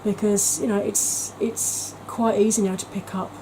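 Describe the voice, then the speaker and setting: A woman's voice: mid-range voice, flat delivery, a little slow, warm tone, slight background noise, rough recording. A woman talking in a chatty, gushing way as a beauty vlogger, with frequent ums. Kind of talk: casual vlog chat.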